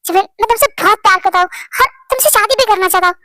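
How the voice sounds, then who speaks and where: A man's voice: very high-pitched, racing, sharp tone, full character voice. A narrator voicing an earnest, lovestruck male boss who makes heartfelt pleading declarations. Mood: tender. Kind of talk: storybook drama reading.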